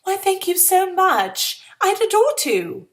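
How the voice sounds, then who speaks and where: A woman's voice: extremely high pitch, very animated, slow pace, pitched above the performer's own voice, exaggerated voice. A woman voicing a falsely sweet, gushing and over-polite young lady in story dialogue.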